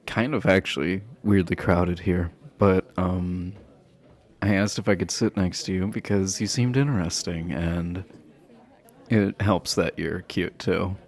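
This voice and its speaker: Man playing a deep-voiced flirty himbo jock. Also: darkish tone. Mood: flirty, earnest. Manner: halting, bashful chuckling, teasing. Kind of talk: romantic roleplay audio acting, addressed to listener.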